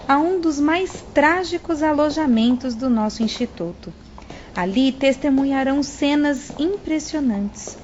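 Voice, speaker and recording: A dull, noisy recording of a high Brazilian female voice , an actress playing a serene spirit director in a radio-style drama. She speaks with gracious, formal composure. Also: a little mellow. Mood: gracious welcome. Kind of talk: audio drama acting. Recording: muffled, clearly noisy audio.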